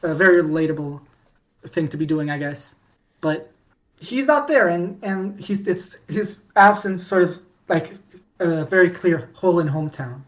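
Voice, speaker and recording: A woman co-hosting a podcast, chatting casually about fandom in an animated storytelling way with mock-dramatic emphasis. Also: lowish voice, moderate pace. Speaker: podcast co-host.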